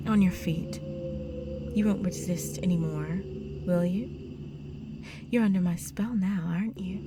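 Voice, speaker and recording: A woman playing a seductive, possessive vampire countess in an intimate roleplay audio drama, speaking in a sultry, commanding way that often drops toward a breathy whisper. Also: fairly low pitch, a little slow, noisy background, close to an everyday voice. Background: loud music.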